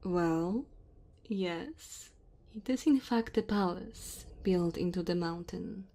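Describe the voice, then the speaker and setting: A woman's voice: on the low side, takes it slowly, warm tone. A woman voicing a dominant, teasing immortal dragon woman in an ASMR roleplay, haughty and speaking straight to the listener. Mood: proud, amused. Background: cave ambience and fire sound effects.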